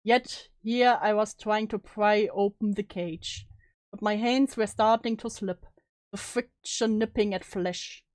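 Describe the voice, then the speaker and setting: A woman's voice: mid-range voice, unhurried, no room sound, close to an everyday voice. Anguished, guilt-haunted student read dramatically by a woman. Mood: desperate, anguished. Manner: solemn, melodramatic, anguished. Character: guilt-ridden, despairing young student haunted by loss. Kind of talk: dramatic reading of story narration.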